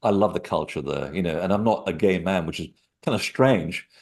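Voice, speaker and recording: A man from Portugal, a guest author, telling digressive stories in an animated way from his small apartment living room over a computer call. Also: sits low, fairly quick, vocal fry. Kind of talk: rambling storytelling interview.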